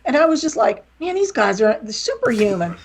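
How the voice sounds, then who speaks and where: A woman's voice: on the high side, very animated, moderate pace. A middle-aged woman from West Virginia with a slight Southern accent, talking candidly and rambling a little as a guest on a podcast interview. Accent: slight Southern American. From West Virginia, USA. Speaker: guest, wrestling promoter and author.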